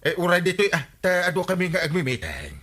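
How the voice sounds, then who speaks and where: An actor playing a bossy, excitable village captain in a comedy radio drama, scolding and insisting with an Ilocano accent. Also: male voice, high voice, quick pace, exaggerated voice. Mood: insistent, bossy.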